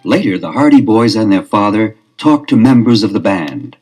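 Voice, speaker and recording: A man's voice: lowish voice, very animated, moderate pace, music in the background. A man narrating an audio drama, setting each scene plainly and without hurry. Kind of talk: scripted narration.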